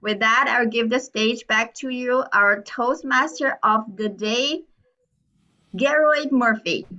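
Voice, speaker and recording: Slow bright-voiced woman hosting. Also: on the high side. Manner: friendly, laughs between remarks. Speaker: club president hosting the meeting. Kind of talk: online club meeting hosting. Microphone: video call audio. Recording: dull lo-fi call audio.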